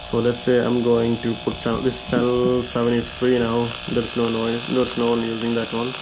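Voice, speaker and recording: A man giving an informal, step-by-step gadget demonstration in a hesitant, halting way, repeating his steps. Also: medium pitch, completely flat delivery, noisy background. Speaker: tutorial presenter.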